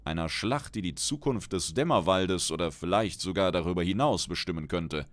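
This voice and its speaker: A man's voice: low voice, animated, moderate pace, no echo. A man reading a fantasy audiobook as a dramatic scripted narration. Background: background music.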